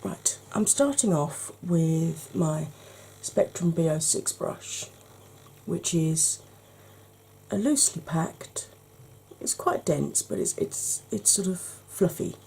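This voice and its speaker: A woman, hoarse from hay fever, chatting through a makeup tutorial step by step and speaking straight to her viewers, with frequent ums. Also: sits low, animated, takes it slowly, mellow, slight background noise.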